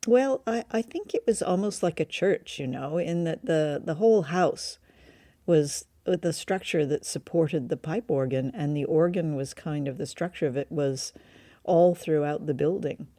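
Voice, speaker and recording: A woman's voice: low voice, normal speed, dark tone, no room sound, clean studio sound. A woman co-hosting a podcast, chatting in an amused, conversational way about an old radio play. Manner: chatty, amused, asks questions. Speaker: podcast co-host. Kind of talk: casual review chat.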